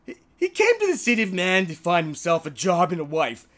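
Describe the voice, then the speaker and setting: An author reading a children's bedtime story in a theatrical, halting way, with repeated words and joking asides. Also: mid-range voice, wildly swooping pitch, fairly bright tone. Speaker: author reading his own short story.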